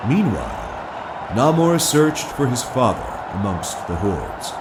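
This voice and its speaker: A man's voice: medium pitch, big pitch swings, slow, heavy background noise. A man narrating a cinematic audio drama in a dramatic, scripted storytelling style.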